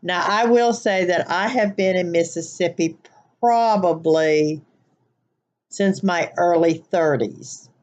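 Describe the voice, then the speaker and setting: A woman's voice: lowish voice, slow, a little mellow. Older woman with a Southern-Midwest twang. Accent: Southern US mixed with Wisconsin nasal twang. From American Midwest. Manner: chatty, rambling, with restarts. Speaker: vlogger. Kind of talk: casual chat, accent tag video. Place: living room, sitting on a couch.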